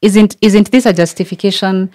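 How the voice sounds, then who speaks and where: A woman's voice: medium pitch, moderate pace, mellow. A woman in her thirties with a Kenyan accent, hosting a casual podcast conversation in a candid, questioning manner. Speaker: podcast host. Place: small indoor podcast studio. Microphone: close boom-arm studio microphone.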